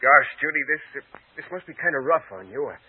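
A nervous impostor in vintage radio drama. His voice is husky and hesitant as he feels his way along. The recording is thin and tinny. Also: fairly high pitch, lively intonation, no echo. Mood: uneasy, apologetic. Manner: hesitant, halting. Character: uneasy male impostor posing as returning nephew. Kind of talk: 1940s radio acting.

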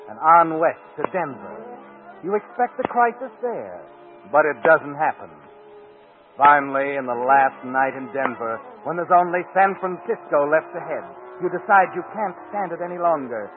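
A man's voice: a little high, close to an everyday voice. A man narrating an old-time radio suspense drama as a knowing, insistent, foreboding voice, on a tinny old recording. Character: knowing, ominous male narrator addressing the hero.

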